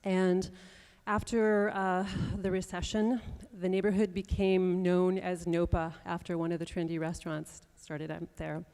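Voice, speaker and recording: Low, mellow-voiced woman panelist. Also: little pitch movement, measured pace, smooth. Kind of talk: personal panel statement. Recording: long reverb of a large hall.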